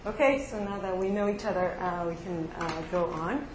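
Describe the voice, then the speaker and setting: A young woman lectures to a physics class, pausing often with ums and uhs. The room is echoey and there is steady noise behind her voice. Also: on the low side. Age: young adult. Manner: hesitant, many fillers, friendly. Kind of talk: university lecture with class introductions.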